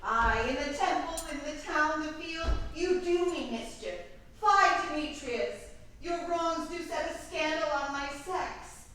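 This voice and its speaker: A lovelorn young woman, played by a woman, pleads earnestly in stage verse with a high, bright voice. It is recorded in a very echoey room. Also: animated, measured pace. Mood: wounded, reproachful. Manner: earnest, theatrical. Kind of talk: staged reading of Shakespearean verse. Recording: echoey room from far off, faint noise.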